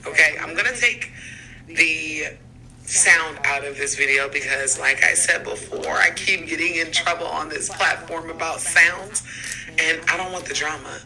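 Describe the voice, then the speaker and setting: Bright, forceful man presenting online videos. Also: fairly high pitch, measured pace, vocal fry, rough recording. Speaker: video host presenting paranormal clips. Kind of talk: casual commentary to viewers.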